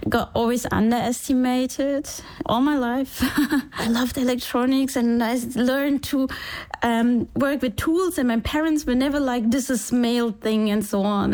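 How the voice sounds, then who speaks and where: Woman in her 40s, sri lankan-born, chatty interview guest who keeps laughing, fairly high voice. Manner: laughs often, animated, quotes people in little voices. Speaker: interview guest, engineer and founder. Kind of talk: conversational storytelling.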